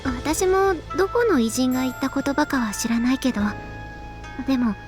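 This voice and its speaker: A young woman playing an earnest, gently cautioning teenage schoolgirl in a Japanese visual novel. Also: high-pitched, lively intonation, fast, music in the background. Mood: explaining.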